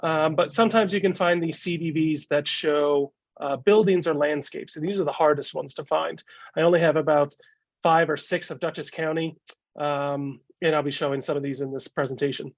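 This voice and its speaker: A man with a cold talks through a slideshow over a video call, his voice gravelly and a touch higher than most. He halts often on fillers. The sound is dull and dry. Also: steady tone, no room sound. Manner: halting, many "uh" fillers. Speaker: guest speaker, collector. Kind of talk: casual slideshow talk.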